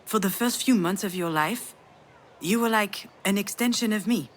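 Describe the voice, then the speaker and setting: A woman's voice: medium pitch, moderate pace, slight background noise, close to an everyday voice. A woman playing a damaged, grieving mother in a narrative video game, confiding and hesitant as she reflects. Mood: tender, reflective.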